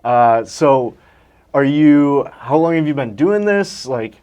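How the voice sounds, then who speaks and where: A relaxed male host keeps a business conversation moving. His voice sits at a middle pitch, and he nudges his guest along with short, encouraging replies. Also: slow, smooth. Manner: easygoing, encouraging. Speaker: podcast host. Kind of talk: casual interview.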